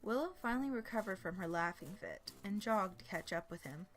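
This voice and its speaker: A woman's voice: medium pitch, animated, fairly quick. A woman reading a scripted story aloud as its narrator. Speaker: fan fiction narrator. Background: background music.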